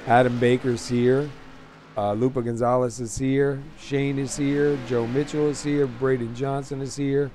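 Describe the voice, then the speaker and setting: A man with a hoarse, fading voice hosting casual, halting race commentary in an informal way. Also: medium pitch, flat delivery, mellow, a little hiss or hum. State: hoarse, losing his voice. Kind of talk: casual sim racing commentary.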